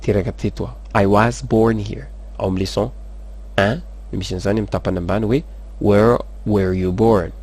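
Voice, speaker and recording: A man teaching English, saying model sentences slowly and switching quickly into rapid explanations. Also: lowish voice, steady tone, darkish tone. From Madagascar. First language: Malagasy. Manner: slow, careful model sentences between fast explanations. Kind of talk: language lesson, explaining in Malagasy and French.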